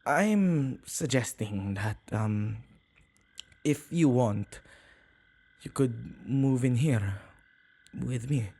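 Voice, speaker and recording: A man acts out a shy, kind-hearted male witch for an ASMR roleplay. He talks slowly at a middling pitch, halting and stammering a little, as an awkward keeper of ghosts would. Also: animated, gravelly, a little mellow, full character voice. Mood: shy, hesitant. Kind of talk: ASMR boyfriend roleplay, acted monologue.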